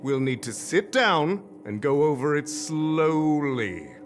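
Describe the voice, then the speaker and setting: A pompous male moralist from a video game, with a slightly high voice and very animated intonation. He speaks in a grandiose, theatrical way, posing as a pillar of virtue. Music plays clearly behind him. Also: slow. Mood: indignant, preachy. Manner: theatrical, self-important, grandiose. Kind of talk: dramatic video game voice acting.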